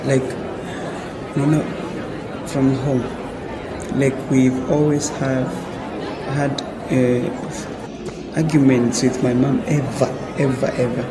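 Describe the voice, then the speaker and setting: A man's voice: medium pitch, little pitch movement, slow, pauses often, lightly acted. A man acting as a troubled, hesitant student confiding in a friend in a radio drama. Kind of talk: radio drama dialogue, code-switching casually. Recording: echoey, noisy public space with crowd.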